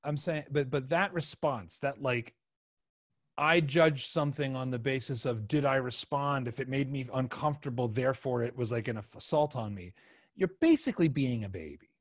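A man in his forties hosting a casual interview, halting and rephrasing his questions as he goes. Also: medium pitch, dry recording, clean studio sound. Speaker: podcast host. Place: small home studio room, indoors. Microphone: close podcast microphone on a video call.

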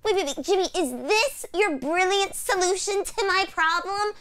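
Very high-pitched, excitable schoolgirl. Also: moderate pace. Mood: worried, urgent. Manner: gushing, theatrical exclamations. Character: excitable, dramatic schoolgirl in a kids' comedy. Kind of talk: exaggerated kids' comedy acting.

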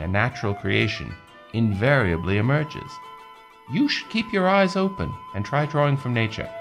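An actor playing a glib, unfazed, showy aesthete in a radio story, with a pompous, airy manner. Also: male voice, deep voice. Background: music.

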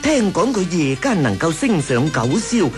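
A woman's voice: on the low side, big pitch swings, normal speed, darkish tone, soft background music. A woman playing a coy, coaxing imperial consort in a radio drama. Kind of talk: Cantonese radio drama acting, 1980s.

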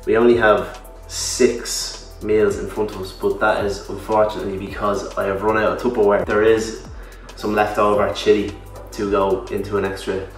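Young male vlogger, low flat voice, off-mic in a roomy kitchen. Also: moderate pace, few pauses, far from the mic, soft background music. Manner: relaxed, chatty, self-deprecating. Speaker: fitness vlogger. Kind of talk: casual cooking walkthrough. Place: large home kitchen, indoors. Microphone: camera mic at a distance.

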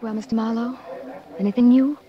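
An actress playing a lovelorn, pleading married woman in a 1940s radio melodrama, halting and earnest. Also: a little high, steady tone, natural voice. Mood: subdued, tender. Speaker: supporting actress. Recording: dull old 1940s radio recording.

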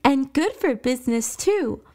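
A woman voicing a burly, warm, jolly shopkeeper grandmother of seventy with a folksy, endearing way of talking in a scripted audio story. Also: high voice, animated, unhurried, voice pushed up. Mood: cheerful, reassuring. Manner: folksy, uses old-fashioned endearments like lass and dearie.

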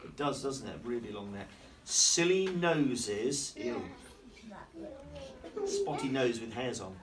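A middle-aged headmaster reading a picture book aloud in a classroom, playfully asking the children questions and slowing down on the rhymes. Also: male voice, mid-range voice, animated, over a noisy crowd. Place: primary school classroom, indoors. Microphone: camera across the room. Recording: distant camera, noisy classroom.

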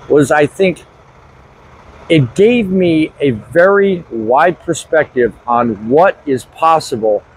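A man's voice: a little high, a little hiss or hum, poor audio. A middle-aged man, a truck driver and vlogger, talking earnestly and reflectively to the camera from inside his truck cab on a lapel mic. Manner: earnest, reflective, deliberate phrasing. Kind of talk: casual talk to camera. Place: inside a truck cab, also outdoors in a parking lot. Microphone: small lapel mic.

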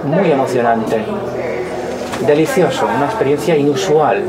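A man reading a translated voice-over of interviews in a steady, measured way. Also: mid-range voice, over a noisy crowd, rough recording. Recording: voice-over under loud music. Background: loud music.

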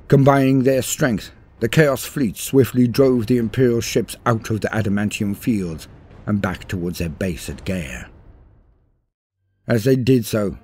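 A man reading a scripted war story as a dramatic narrator, in a grave and measured manner. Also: fairly low pitch, a little mellow. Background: music.

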